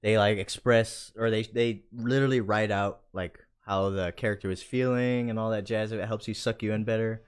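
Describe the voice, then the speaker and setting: A man's voice: on the low side, steady tone, moderate pace, few pauses, clean tone. A man hosting a casual live stream, talking in an informal, slangy and playful way. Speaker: host.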